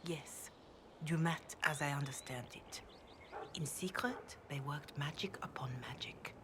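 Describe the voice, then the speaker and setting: An actress voicing a devout, grave priestess in a video game, speaking in a measured, reverent way. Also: female voice, deep voice, whispered. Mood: grave reciting. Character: devout, grave chantry priestess, calm and counselling. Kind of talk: video game dialogue, scripture recitation.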